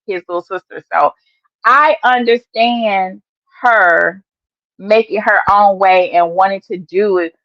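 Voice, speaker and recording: A woman hosting an online news show in casual livestream chat, slightly hoarse, emphatic and given to repeating her points. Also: medium pitch, no echo. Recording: dull lo-fi, dry sound.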